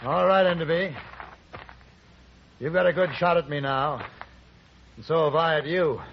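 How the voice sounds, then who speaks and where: An actor playing a composed, wry bounty hunter in an old radio western, with measured, dry, formal speech. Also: male voice, fairly high pitch, creaky. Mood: firm. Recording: muffled, noisy old radio drama recording.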